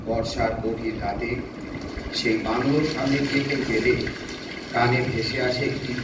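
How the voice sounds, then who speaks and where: A man narrating a scripted horror story in a measured, dramatic manner. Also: medium pitch, fairly even intonation. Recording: noisy, very echoey, distant.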